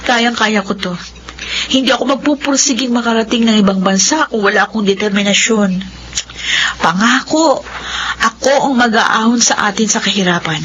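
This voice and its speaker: An actress playing a determined young Filipina eldest daughter in a radio drama, earnest, with a Filipino accent. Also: female voice, on the high side, moderate pace, fairly bright tone, a little hiss or hum, close to an everyday voice. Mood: hopeful, reassuring. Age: young adult. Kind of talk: radio drama acting with first-person narration. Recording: dull lo-fi radio drama, music underneath.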